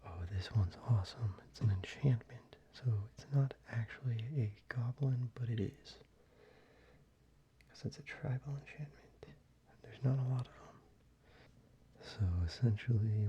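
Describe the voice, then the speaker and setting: A man speaking in a soft, breathy whisper, gently rambling in a casual ASMR style. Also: lowish voice. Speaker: ASMR video creator. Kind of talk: soft-spoken ASMR, casual card-collection show-and-tell. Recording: muffled, with background hiss.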